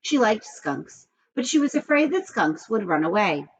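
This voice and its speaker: A woman's voice: mid-range voice, big pitch swings, moderate pace, muffled recording, slight background noise. A woman reading a children's storybook aloud, expressively and with asides, speaking straight to young listeners.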